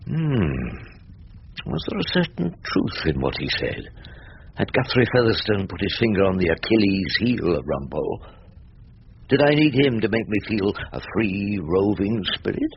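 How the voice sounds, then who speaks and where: An actor playing a wry, rumpled older English barrister in a radio drama, with an orotund, ironic, rhetorical way of talking. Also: male voice, fairly low pitch, big pitch swings, normal speed, gravelly, husky, exaggerated voice. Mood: dry narration. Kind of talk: BBC radio drama acting and first-person narration. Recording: dull, noisy old radio recording.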